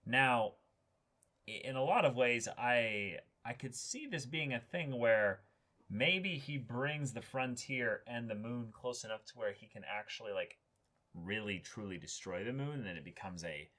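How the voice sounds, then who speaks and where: Sharp, bright-voiced man chatting casually. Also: medium pitch, measured pace. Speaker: co-host of a reaction show. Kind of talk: casual chat, anime discussion.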